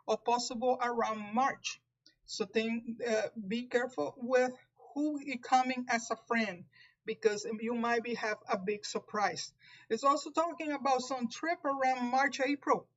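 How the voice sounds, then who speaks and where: Puerto rican lady doing a live tarot reading, kinda high voice, careful and halting, muffled lo-fi sound. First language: Spanish. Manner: careful, halting, with frequent restarts. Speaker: tarot reader.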